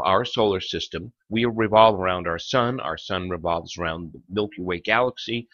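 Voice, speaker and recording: A man narrating a lecture in a theatrical, suspenseful documentary style, pausing for effect between phrases. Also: medium pitch, fairly bright tone, highs cut off. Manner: theatrical, with rhetorical questions and suspenseful pauses.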